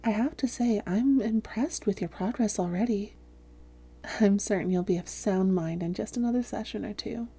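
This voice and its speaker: Woman playing a very dark-voiced, breathy seductive vampiress. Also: medium pitch. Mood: soothing, professional. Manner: soft, breathy, often whispering, deliberate. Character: seductive, domineering female vampire posing as therapist. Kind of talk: intimate roleplay audio drama, hypnotic delivery.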